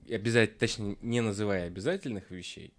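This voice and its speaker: Fairly low-voiced man explaining casually. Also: a little fast, crowd noise. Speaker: podcast guest. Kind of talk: casual chat.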